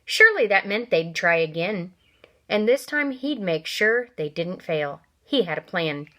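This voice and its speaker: A woman reads a children's novel aloud at a middling pitch. She gives the characters lively voices. Every so often she stops to talk straight to her young listeners. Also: unhurried. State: coughing from allergies. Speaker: reader.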